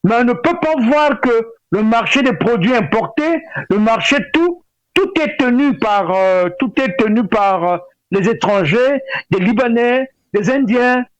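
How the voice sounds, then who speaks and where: A middle-aged man with a Congolese French accent, speaking as an interview guest in a halting way with repeated words. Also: extremely high pitch, lively intonation, no room sound. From Brazzaville, Republic of the Congo. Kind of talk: spoken interview, opinionated discussion. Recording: dull lo-fi radio sound.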